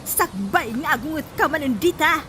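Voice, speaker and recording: Radio drama grandmother played by a woman: older, fretful, chiding, Ilocano accent, high pitch, faint noise. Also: lively intonation, normal speed.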